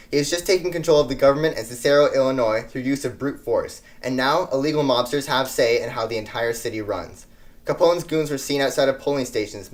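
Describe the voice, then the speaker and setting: An earnest news anchor of the 1920s, played by a man, delivers a scripted report in a formal announcer's manner. His voice sits at a medium pitch. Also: lightly acted.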